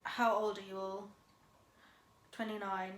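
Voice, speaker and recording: A woman's voice: medium pitch, flat delivery, a little slow, fairly bright tone, reverberant, a little hiss or hum. Teasing woman in her late twenties. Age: 20s. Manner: teasing, laughs at own jokes. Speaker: vlogger. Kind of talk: casual sibling chat.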